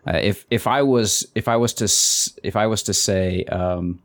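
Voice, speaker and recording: Man, podcast co-host, halting casual talk, low voice, dry. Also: dry recording. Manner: halting, repeats words while explaining. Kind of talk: casual conversation.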